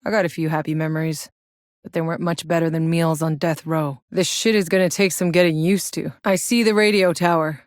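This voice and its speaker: Actress as a low-voiced, tough sardonic female soldier. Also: steady tone, a little fast, dry recording, studio quality, close to an everyday voice. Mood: wry, weary. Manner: blunt, wry, swearing. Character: tough, sardonic battle-hardened female soldier in sci-fi wasteland. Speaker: video game voice actor. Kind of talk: scripted video game character voice lines.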